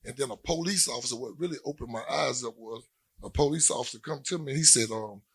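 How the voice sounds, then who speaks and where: Guy w a husky voice telling his story slow and plain on a panel, lots of ums. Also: mid-range voice. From Louisiana. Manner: plain-spoken, halting with many "um" pauses. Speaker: panel guest, former prisoner. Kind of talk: personal testimony on a panel.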